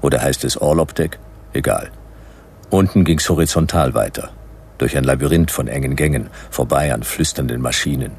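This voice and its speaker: An actor playing a laconic private detective in a radio play, dry, terse and sardonic, with noir-style narration. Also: male voice, very deep voice, moderate pace, darkish tone, slightly rough, exaggerated voice. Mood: laconic narrating. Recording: radio play with background noise.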